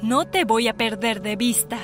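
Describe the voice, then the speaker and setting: A cold, controlling mother, put on by a woman who drops her voice low, giving firm orders without budging. The voice sits lower than her narrator's, steady and unbending, with music playing underneath. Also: moderate pace, close to an everyday voice. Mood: stern. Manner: cold and firm, clipped commands. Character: stern controlling middle-aged mother. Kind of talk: voice acting in an animated story dub.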